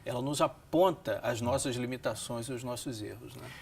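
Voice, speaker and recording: A man with a Brazilian Portuguese accent hosting a Bible discussion in a measured, didactic way, often seeking agreement. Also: medium pitch, vocal fry, darkish tone, slight background noise. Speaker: host of a religious programme.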